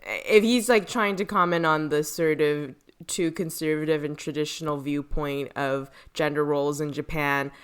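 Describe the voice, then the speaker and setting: A woman's voice: sits low, steady tone, normal speed, hardly any pauses, clean tone. A woman co-hosting a podcast, giving her opinions in an informal, casual chat and qualifying them as she goes. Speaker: podcast host.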